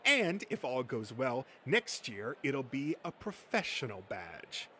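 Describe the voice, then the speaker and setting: A man's voice: mid-range voice, big pitch swings, slow pace, bright, forceful tone, slight background noise, full character voice. A man voicing a boastful, thin-skinned male comic-book nerd who rants and swears self-importantly in an amateur comic dub. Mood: smug.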